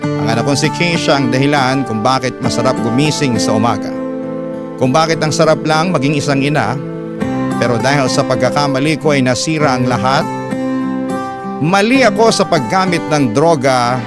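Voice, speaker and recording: A man's voice: mid-range voice, normal speed, lightly acted. A man narrating as a remorseful parent in an emotional, confessional Filipino radio story. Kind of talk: dramatic first-person scripted narration. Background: guitar music.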